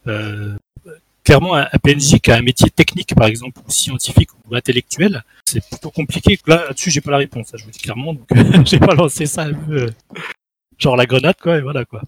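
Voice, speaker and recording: A man's voice: medium pitch, brisk, breathy edge, poor audio. A man on a discussion panel, speaking casually and tentatively, rephrasing as he goes. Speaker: panelist on a role-playing games show.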